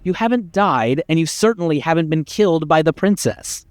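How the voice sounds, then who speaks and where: A man's voice: fairly high pitch, animated, moderate pace, dry recording, clean studio sound, lightly acted. A man playing a condescending, exasperated narrator in a video game, articulate and dryly sardonic. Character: exasperated, pompous male narrator voice guiding the hero.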